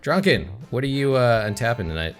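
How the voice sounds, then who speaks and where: A young man hosting a casual podcast, his pitch swooping up and down as he jokes and laughs through the banter. His voice sits in an ordinary middle register, loose and playful. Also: very animated, brisk, faint music behind. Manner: joking, laughs often, goofy theatrical openings. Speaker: podcast host.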